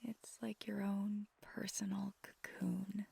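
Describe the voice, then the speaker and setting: Woman acting as affectionate vampire girlfriend, hushed breathy whisper, very crackly creak, gentle, intimate ASMR roleplay. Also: medium pitch, level tone, takes it slowly, no echo, exaggerated voice. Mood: wistful, gentle.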